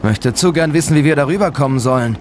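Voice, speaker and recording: A man's voice: fairly high pitch, expressive, fast, lightly acted. An actor playing the alert, practical male partner of a ghost hunter in an audio drama. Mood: alert, curious. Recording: loud ambient sound effects behind voice. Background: loud ambience noise.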